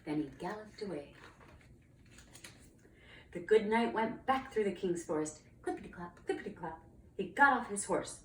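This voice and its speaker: Expressive woman reading a children's storybook. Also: mid-range voice, unhurried, pauses often. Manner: dramatic, emphatic storytelling. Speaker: storybook reader, cantor. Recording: roomy, distant mic, faint noise.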